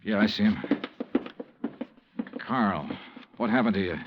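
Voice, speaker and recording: An actor playing a tough, sardonic insurance investigator in a golden-age radio detective drama. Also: male voice, on the low side, measured pace, close to an everyday voice. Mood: tense, urgent. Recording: muffled, noisy vintage radio recording.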